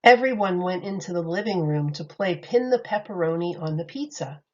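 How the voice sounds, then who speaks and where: A woman teacher reading a children's picture book aloud in an expressive, lively way, in a slightly muffled recording. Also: fairly low pitch, normal speed.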